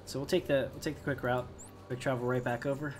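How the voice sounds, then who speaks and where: A man's voice: medium pitch, little pitch movement, quick pace, a little hiss or hum. A man giving casual, chatty gameplay commentary, thinking aloud to his viewers as he plays. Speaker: gamer hosting a playthrough.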